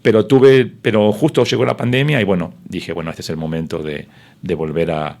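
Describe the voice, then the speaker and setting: A man's voice: low voice, steady tone, a little fast, creaky, a little mellow. A middle-aged man, a sports broadcaster whose first language is Spanish, telling his story in an interview with many fillers and restarts.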